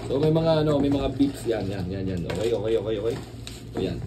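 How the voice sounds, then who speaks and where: A man's voice: lowish voice, lively intonation, brisk. A man with a Filipino accent chatting casually through an unboxing vlog, rambling and often checking for agreement. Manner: rambling, repeats tag questions like asking for agreement. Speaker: vlogger. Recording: clearly noisy, distant microphone.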